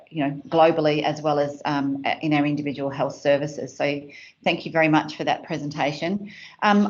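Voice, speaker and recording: A woman hosting a symposium over a video call, reading a measured, formal speaker introduction. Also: deep voice. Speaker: symposium host. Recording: dull lo-fi video call audio.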